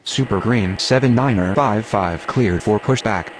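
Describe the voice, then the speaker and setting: A man's voice: fairly low pitch, unhurried, a little hiss or hum, close to an everyday voice. A man voicing a neutral, procedural airline pilot or controller in scripted radio phraseology for a game.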